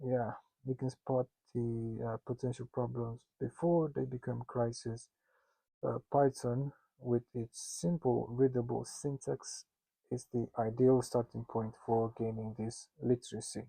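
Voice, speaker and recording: A man with a Russian accent teaches in a slow, unhurried way, with a low-pitched, gravelly voice. His tone is flat and mellow. He jokes at his own expense and stumbles through restarts, in a casual lesson. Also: no room sound, rough recording. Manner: joking and self-mocking, with loose asides; halting, with many restarts and fillers. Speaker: instructor teaching founders.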